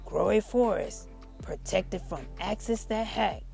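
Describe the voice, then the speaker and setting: A woman voicing a regretful, greedy old male factory owner in a children's storybook read-aloud, with creaky, dramatic, drawn-out delivery. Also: mid-range voice, over music. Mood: earnest, urging.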